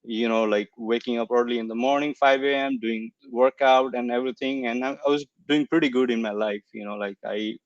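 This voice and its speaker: A young man from Nepal, a guest talking casually in an online interview, searching for words and filling pauses as he goes. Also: medium pitch, fairly even intonation, no room sound, highs cut off. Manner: hesitant, searching for words, many fillers. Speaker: coaching client guest.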